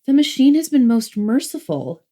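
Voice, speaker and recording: A woman's voice: sits high, sing-song, normal speed, dark tone. A woman playing a dogmatic, dismissive mother in an audiobook drama, with a lofty, precise, declamatory manner. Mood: stern, disbelieving. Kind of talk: dramatic audiobook dialogue and oratory.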